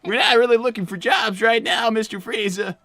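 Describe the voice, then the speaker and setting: A woman's voice: on the high side, fairly quick, fairly bright tone, no room sound. A woman voicing a naive, stubborn young cartoon character, casual and blunt, in improvised comedy roleplay. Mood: casual, earnest.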